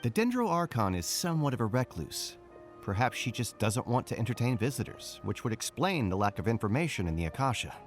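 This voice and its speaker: A man's voice: mid-range voice, sing-song, brisk, music in the background, natural voice. A man voicing an easygoing, self-deprecating academy scholar in a video game, chatty and wry. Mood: thoughtful.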